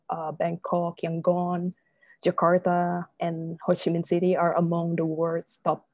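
A woman from Indonesia speaks as an interview guest, measured and pausing often on fillers. Her voice is mellow and low-set, held in a narrow, even range. The sound is dry and close. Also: no room sound. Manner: measured, many filler pauses. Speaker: guest researcher. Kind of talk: remote interview answers.

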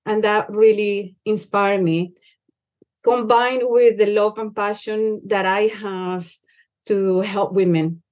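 A woman's voice: medium pitch, steady tone, slow, darkish tone. Earnest Colombian woman on a podcast. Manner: earnest, with frequent restarts. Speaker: conservation organization director. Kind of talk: podcast interview.

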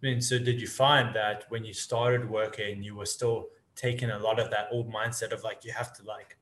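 A man's voice: lowish voice, little pitch movement, moderate pace. A man from Africa, hosting an interview and asking long, rambling questions. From South Africa. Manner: rambling questions, soft affirmations. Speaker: podcast host. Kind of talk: casual interview. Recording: roomy echo.

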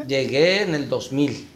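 A middle-aged man tells his story as an interview guest. He speaks slowly and with feeling, pausing and restarting. Faint room ambience hums behind him. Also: mid-range voice, expressive, fairly bright tone, slight background noise. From Mexico. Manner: reflective, halting, emotional. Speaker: guest, father.

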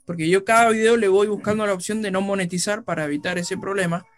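A man co-hosting a radio chat, eager and quick to jump in. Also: high-pitched, steady tone. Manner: eager, interjecting. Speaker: radio co-host. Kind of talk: casual chat.

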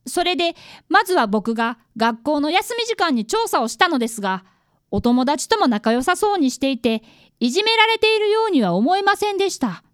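Woman acting schoolboy apprentice detective, earnest, very high pitch, lively intonation, radio drama narration. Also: fairly quick, dry recording, studio quality. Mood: earnest narrating. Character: earnest fifth-grade boy, apprentice detective. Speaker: narrator and actor.

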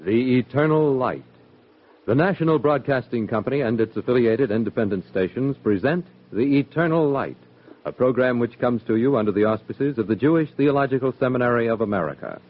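A man making a formal, measured radio announcement in a 1960s broadcast. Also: mid-range voice, expressive, phone-quality sound.

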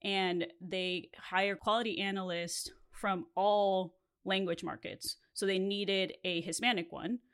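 A woman's voice: medium pitch, steady tone, slow pace, hardly any pauses, fairly bright tone, clear, resonant tone. A woman in her thirties from Mexico, whose first language is Spanish, telling a candid story as a podcast host with laughs between phrases.

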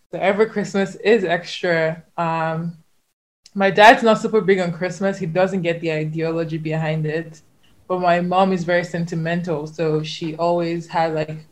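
A woman from Nigeria telling an excited, emphatic story in a casual chat. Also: lowish voice.

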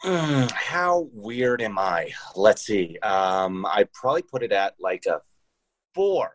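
Low-voiced man chatting casually. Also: very animated, slow, a little hiss or hum. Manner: informal gamer slang. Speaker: podcast guest, tabletop role-playing show creator. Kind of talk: casual interview chat.

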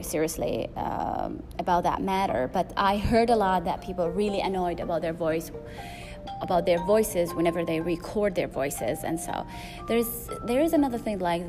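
Loud-voiced woman podcaster over music. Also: lowish voice, measured pace. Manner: rambling, frequent fillers and restarts. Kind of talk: solo podcast monologue. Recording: music playing behind.

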